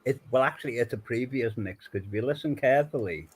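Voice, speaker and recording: A man's voice: medium pitch, quick pace, breathy edge, rough recording. Rambling man with faded Liverpool accent. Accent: faded Liverpool accent softened by years in southwest England. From Liverpool, England. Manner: rambling, self-interrupting, laughs often. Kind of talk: casual chat.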